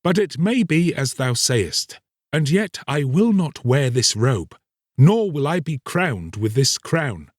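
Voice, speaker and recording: Man voicing an earnest young king, lively pitch. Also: takes it slowly, no echo, clean studio sound. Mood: calm resolve. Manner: resolute, archaic, formal speech. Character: earnest, solemn young king in humble dress.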